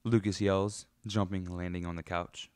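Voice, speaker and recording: A man as a deep-voiced killer storyteller. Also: little pitch movement, normal speed, a little mellow, smooth, dry recording, lightly acted. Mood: grim storytelling. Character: male storyteller, a locked-up killer recounting his murders. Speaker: narrator. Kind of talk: scripted radio drama narration.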